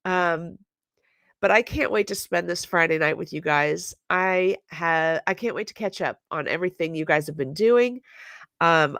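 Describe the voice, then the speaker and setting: A woman talks to her viewers in a slow, unhurried, chatty way, her voice stuffed up from a cold. Her tone sits a little low, with a gravelly fry in it. The sound is dry and close, with no room echo. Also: fairly bright tone. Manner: chatty, apologetic, lots of fillers. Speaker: scrapbooking channel host. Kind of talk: casual chat to viewers.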